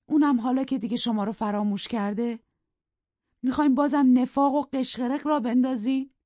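A man's voice: high-pitched, darkish tone, no room sound, pitched above the performer's own voice. A man reading an audiobook, voicing a proper, earnest middle-aged housekeeper in a polite, pleading way. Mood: reproachful. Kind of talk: audiobook character voice. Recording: dull dry studio recording.

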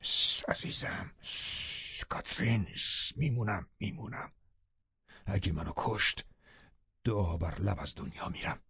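A man playing a brooding, passionate male lover in an audiobook, speaking in a hushed, intense, imploring way. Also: on the low side, gravelly, husky, dry recording, lo-fi sound, exaggerated voice. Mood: tender, resigned.

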